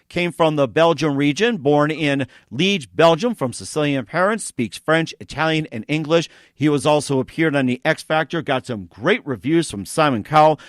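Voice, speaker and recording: A male talk show host leads an interview in a rambling, halting way. His voice sits a little high for a man, and the sound is dry and close. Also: fairly bright tone, dry recording. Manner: rambling, filler-heavy. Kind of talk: radio interview.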